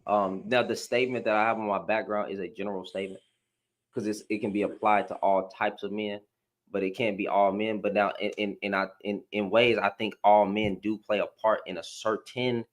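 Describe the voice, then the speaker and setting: A man's voice: lowish voice, level tone, fairly quick. Man, Houston accent, panel host, casual, rambling, slangy. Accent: Southern US (Houston). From Houston, Texas. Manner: rambling, repeats words, slangy. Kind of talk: casual live panel discussion.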